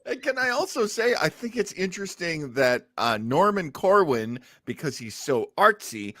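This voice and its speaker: A man's voice: high voice, big pitch swings, normal speed, a bit bright, dry recording, clean studio sound. A man hosting a podcast with conversational commentary. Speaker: podcast host.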